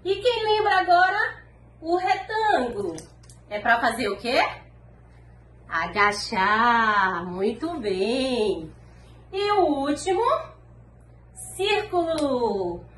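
Slow sing-song Brazilian woman teacher. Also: on the high side, very animated, a bit bright. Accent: Brazilian Portuguese. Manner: slow, sing-song and encouraging, speaking to small children. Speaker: preschool teacher. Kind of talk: online preschool lesson. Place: classroom wall with posters, indoors. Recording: echoey room, faint background noise.

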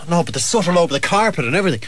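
Male prankster as accident-prone Irishman, high-pitched, flustered, halting, groaning, radio prank call. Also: lively intonation, fairly bright tone, a little hiss or hum. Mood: distressed, exasperated. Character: accident-prone man with hand stuck up chimney, flustered.

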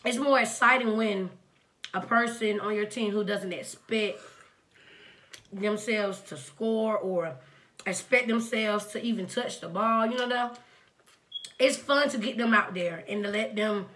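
Raspy young woman telling a story. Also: medium pitch, unhurried. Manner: relaxed storytelling. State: sick, clearing throat. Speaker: mukbang co-host. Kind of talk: casual chat while eating. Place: indoor home studio.

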